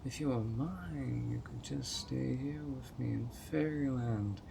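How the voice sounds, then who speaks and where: A man playing a possessive fairy host, slow and gravelly. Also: medium pitch, noisy background. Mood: doting. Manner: coaxing and doting, turning controlling. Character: handsome obsessive male fairy host, sweetly possessive. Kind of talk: audio roleplay.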